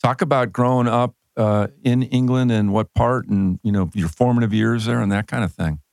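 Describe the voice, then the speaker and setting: A man's voice: medium pitch, steady tone, moderate pace, creaky, a little mellow, no echo. A man hosting a casual interview, asking loose questions with lots of fillers. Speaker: interview host.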